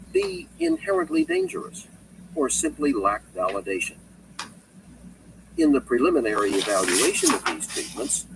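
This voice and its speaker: Man, audiobook narration, highly varied pitch, measured emphatic phrasing, articulate, slightly distant mic. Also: noisy background. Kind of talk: scripted nonfiction audiobook narration.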